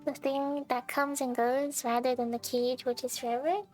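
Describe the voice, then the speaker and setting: A woman's voice: high-pitched, flat delivery, normal speed. A young woman casually chatting on a live stream as she plays a game, talking to viewers in a playful, chatty way. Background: game music.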